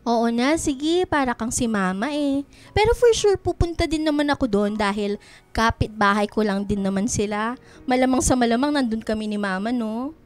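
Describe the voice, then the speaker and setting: A woman's voice: fairly high pitch, animated, moderate pace, lightly acted. A woman with a Filipino accent playing a playful, self-conscious young best friend in a radio drama, girlish and whiny as she teases.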